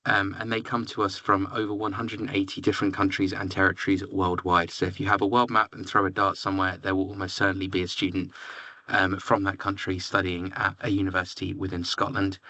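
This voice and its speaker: A man's voice: sits low, no echo, muffled recording. A young man from near London with an English accent, presenting to education agents and filling gaps with "um" and "sort of". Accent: London area English. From England. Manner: relaxed, filler-heavy, says "sort of" often. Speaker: university representative.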